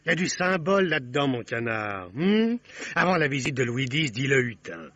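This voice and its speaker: A man playing a fussy, excitable theatrical director in a radio play, exclamatory and cajoling. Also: medium pitch, normal speed, no echo. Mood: grandly explaining. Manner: theatrical, exclamatory, cajoling, sings trumpet cues. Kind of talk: French radio play acting. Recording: dull band-limited old radio recording.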